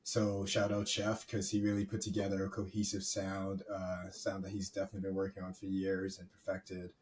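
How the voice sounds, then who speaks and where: A man, a guest in a casual interview, talking in a relaxed, rambling way with many fillers. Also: low-pitched, a little fast. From Canada. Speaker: guest, music executive. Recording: distant mic with faint background noise.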